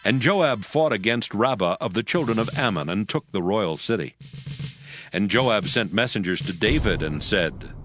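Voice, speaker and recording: A man narrating scripture in a solemn, measured and formal way. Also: fairly low pitch, animated, over music.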